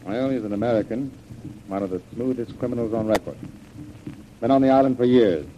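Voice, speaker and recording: A man's voice: mid-range voice, brisk, lo-fi sound, close to an everyday voice. A man playing a grave, wary major on a secret mission, in old radio adventure serial style. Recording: noisy old radio recording.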